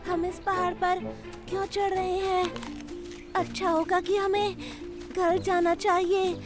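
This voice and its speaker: A timid young animal cub in a kids' cartoon. The voice is very high and childlike, polite and a little hesitant, with music underneath. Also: female voice, flat delivery, a little slow. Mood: reluctant, timid.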